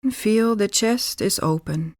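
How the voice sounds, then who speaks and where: Female yoga nidra guide, medium pitch, very slow calm delivery with gentle pauses, close dry recording. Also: no echo. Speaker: yoga nidra meditation teacher. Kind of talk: guided relaxation meditation instruction.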